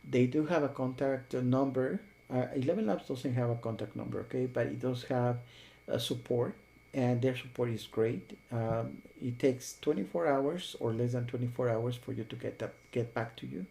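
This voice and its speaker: A man talking casually and unscripted as a software reviewer, rambling and often checking in with the listener. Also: medium pitch, normal speed, gravelly. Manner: rambling, repeatedly checks with "okay?" and "you know".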